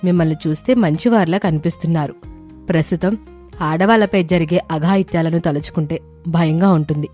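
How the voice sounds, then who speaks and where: A woman's voice: fairly low pitch, a little fast, warm tone, pitched below the performer's own voice, natural voice. A woman narrator puts on a concerned townswoman in a storybook tale. She is plaintive and persuasive, an everyday motherly figure speaking over music. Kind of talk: storybook dialogue read by narrator.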